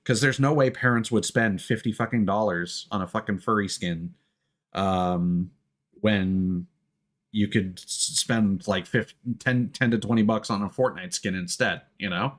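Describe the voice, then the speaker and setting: A man's voice: on the low side, unhurried. A man livestreaming a video game, chatting casually and thinking aloud in a hesitant, rambling way. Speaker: game live streamer. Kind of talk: casual livestream chat while gaming. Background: game music.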